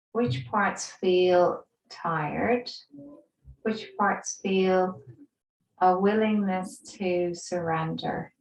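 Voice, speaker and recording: Slow, echoey woman guiding relaxation. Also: mid-range voice. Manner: soothing, gentle, unhurried with pauses. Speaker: yoga instructor. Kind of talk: guided relaxation meditation. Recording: roomy echo, distant microphone.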